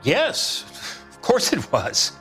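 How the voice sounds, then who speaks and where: A man's voice: sits high, wildly swooping pitch, very slow, bright, forceful tone, soft background music, heavily acted. An actor playing a folksy, wisecracking used car salesman in a 1940s American crime game, with drawling showman's patter. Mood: defensive, folksy. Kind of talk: video game cutscene acting, 1940s American setting.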